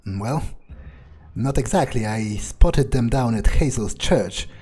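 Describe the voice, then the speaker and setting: A man's voice: medium pitch, animated, measured pace, dark tone, husky. A man playing a cocky, crude vampire who relishes violence, with a hesitant, swearing drawl, in a roleplayed video game. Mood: blunt, scornful.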